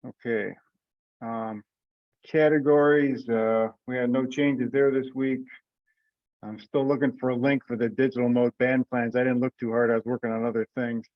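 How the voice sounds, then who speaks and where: A man's voice: medium pitch, fairly even intonation, normal speed, no echo. Man on a muffled conference call. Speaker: meeting leader. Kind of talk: informal conference call discussion. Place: remote teleconference.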